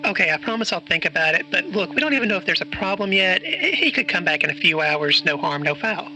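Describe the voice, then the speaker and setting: A man's voice: sits high, sing-song, quick pace, bright, forceful tone, soft background music, full character voice, rough recording. A man playing an anxious, hesitant character in an audio drama, speaking in an informal, earnest way. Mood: uncertain, pleading. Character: anxious, hesitant man chasing a conspiracy mystery. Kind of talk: naturalistic audio drama dialogue and first-person narration.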